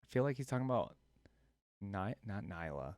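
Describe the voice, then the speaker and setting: A man's voice: lowish voice, big pitch swings, moderate pace, darkish tone, no echo, clean studio sound. A man reacting casually to game voice lines, thinking aloud and often trailing off. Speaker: reaction youtuber.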